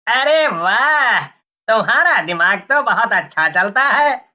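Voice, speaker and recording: A man playing a gloating, mocking snake-charmer villain in a moral-story cartoon, with taunting, drawn-out delivery. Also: sits high, expressive, sharp tone, exaggerated voice.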